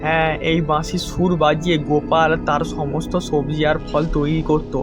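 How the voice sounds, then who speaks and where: A man's voice: on the high side, little pitch movement, normal speed, natural voice. A man voicing a greedy, scheming villager in a cartoon fairy tale. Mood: gloating. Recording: music clearly behind the voice.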